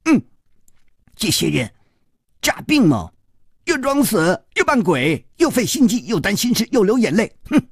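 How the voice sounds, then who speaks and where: Man putting on a cheeky high-pitched street boy. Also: sing-song, a little slow, long pauses, slightly rough, no echo, clean studio sound, exaggerated voice. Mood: mocking. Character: cheeky half-grown street boy, mocking and curious.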